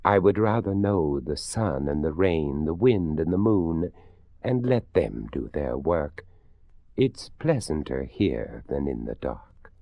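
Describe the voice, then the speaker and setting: Man voicing a genteel, plaintive skeleton, slow and swooping. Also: extremely low pitch, very animated, vocal fry, no room sound, muffled recording, exaggerated voice. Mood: grateful, wistful. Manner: formal, genteel, drawn-out phrasing. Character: courteous, plaintive old skeleton, gentlemanly and grateful.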